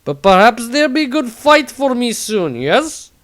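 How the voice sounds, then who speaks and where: A man voicing a gruff, boisterous, hard-drinking foreign fighter in a fantasy storybook, blunt and with broken phrasing. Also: very high voice, very animated, measured pace, fairly bright tone, voice pushed up, full character voice. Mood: boisterous, teasing.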